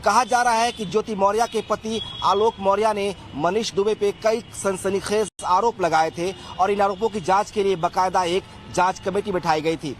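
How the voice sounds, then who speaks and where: A man's voice: high-pitched, fairly even intonation, normal speed, few pauses, a little hiss or hum. A man delivering a television field news report as a reporter.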